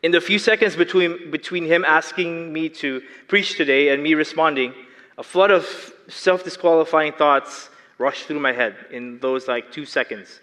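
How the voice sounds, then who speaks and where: A young man with a Filipino accent and a slight lisp preaches to a large congregation, his voice bright and forceful. His pitch sits a little high for a man, and a long reverb trails each phrase through the church hall. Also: steady tone, moderate pace. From Philippines. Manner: earnest, asks the congregation questions. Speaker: lay preacher. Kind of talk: sermon.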